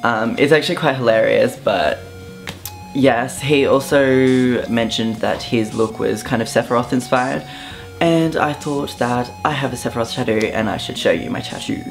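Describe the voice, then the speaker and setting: Relaxed young Australian man vlogging. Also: medium pitch, moderate pace, vocal fry, faint music behind. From Southeast Queensland, Australia. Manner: relaxed, offhand, many ums. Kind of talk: casual vlog monologue.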